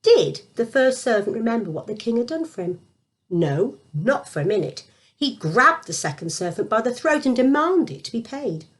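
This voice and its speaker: A woman narrates a children's Bible story in a fairly high voice. Her intonation moves widely, and she leans on key words with deliberate emphasis. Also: very animated.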